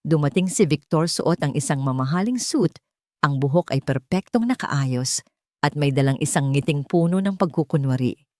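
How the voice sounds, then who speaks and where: A woman's voice: low-pitched, animated, normal speed, darkish tone, dry recording, clean studio sound. A woman with a Filipino accent reading a scripted dramatic story as its narrator.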